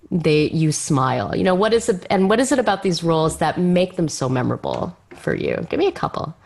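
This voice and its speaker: Woman, interview host, lowish voice, animated swooping intonation, enthusiastic and conversational. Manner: effusive, enthusiastic.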